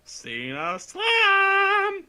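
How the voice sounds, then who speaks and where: A man's voice: extremely high pitch, huge pitch swings, very slow, pushed and bright, heavily acted. A man playing a brash, hearty champion wrestler with playful catchphrases. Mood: roaring.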